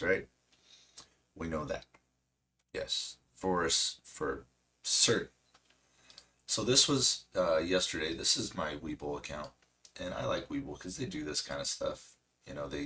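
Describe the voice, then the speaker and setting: A man hosting a stock trading stream, hoarse and losing his voice, chatty and self-mocking with a cracking voice. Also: very deep voice, sharp tone. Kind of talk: casual market commentary.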